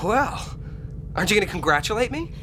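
A man's voice: high voice, very animated, brisk, over music. An actor playing an eager, earnest young farm-boy pilot in a radio drama, boyish in manner.

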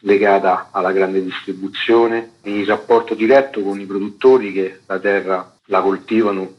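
Male activist guest for radio: lowish voice, unscripted explanatory talk, dull band-limited sound. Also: fairly even intonation, moderate pace. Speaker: interviewed activist and event organiser. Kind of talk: spontaneous explanatory interview talk.

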